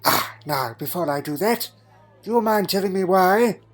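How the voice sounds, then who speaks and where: A man's voice: high voice, expressive, moderate pace, a bit bright. Elderly time traveller, played by a man: doddering, fussy, imperious but fond, hums questioningly; audio drama over music. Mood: polite, fussy. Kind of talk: fan audio drama acting. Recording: music underneath.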